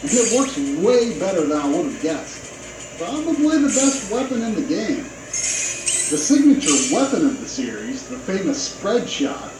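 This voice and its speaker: A man narrating a scripted video game review in a conversational, opinionated way, with casual asides. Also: high voice. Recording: roomy, played back with game music behind.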